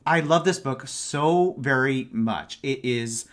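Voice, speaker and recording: Casting: male guest on a book podcast, middle-pitched, conversational recommendations. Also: takes it slowly.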